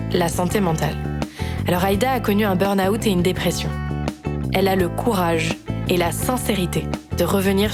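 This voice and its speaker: A woman hosting a podcast, reading a clear scripted introduction and then interviewing her guest. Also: mid-range voice. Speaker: podcast host.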